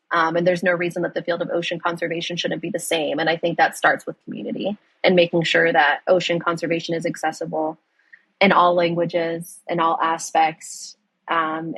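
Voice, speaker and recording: Husky-voiced woman in a dry, close recording. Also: lowish voice, fairly bright tone, dry recording. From United States. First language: Spanish. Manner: earnest, many fillers like "um" and "right?". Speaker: guest, ocean conservation director. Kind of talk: casual podcast interview.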